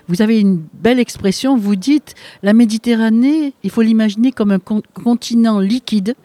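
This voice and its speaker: An interviewer asking long, halting questions with many restarts. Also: mid-range voice, warm tone, no echo.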